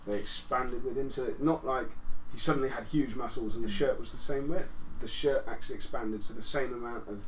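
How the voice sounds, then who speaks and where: A man's voice: medium pitch, brisk. Man as game master, noisy room. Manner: explanatory, step by step. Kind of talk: explaining rules and narrating a tabletop game. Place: living room with a group around a table. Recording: noisy room, mic away from speakers.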